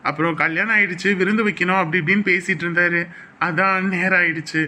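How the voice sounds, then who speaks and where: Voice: mid-range voice, steady tone, fast, bright, forceful tone. An actor playing a fussy old village father-in-law. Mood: fond. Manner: fussy, repeats safety advice. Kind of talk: family drama acting.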